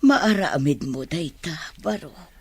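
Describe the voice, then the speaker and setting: A woman's voice: sits low, expressive, slow pace, slightly rough, dry recording, exaggerated voice. A woman with an Ilocano accent playing a caring, pious middle-aged mother in a Filipino radio drama. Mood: hopeful.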